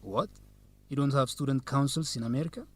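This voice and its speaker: A confident, teasing young man, played by an actor, a law student in an educational radio drama. His voice is medium in pitch, and he speaks in a courteous, measured way. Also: lightly acted. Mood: proud, playful. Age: young adult.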